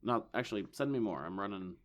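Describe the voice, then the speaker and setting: A man hosting a casual comics podcast, hoarse from losing his voice, with dry wisecracks. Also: on the low side, lively intonation, quick pace. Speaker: podcast host. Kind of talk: casual chat.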